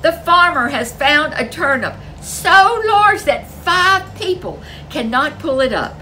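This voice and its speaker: Woman doing an excitable, high-voiced village neighbor lady. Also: takes it slowly, far from the mic, slight background noise, full character voice. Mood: excited, flattering. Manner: exclaiming, emphatic. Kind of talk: storybook character voice.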